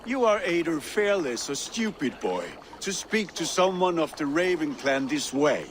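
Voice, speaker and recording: A man's voice: fairly high pitch, animated, a little slow, noisy background. A man playing a proud, menacing, grumbling old Viking warrior in a video game.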